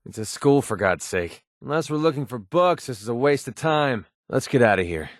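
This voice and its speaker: A man's voice: mid-range voice, lively intonation, moderate pace, no room sound, clean studio sound, close to an everyday voice. A man playing a cynical, wisecracking young mercenary sniper in a video game, speaking in an offhand, grumbling, sardonic way. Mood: dry, watchful. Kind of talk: video game companion dialogue.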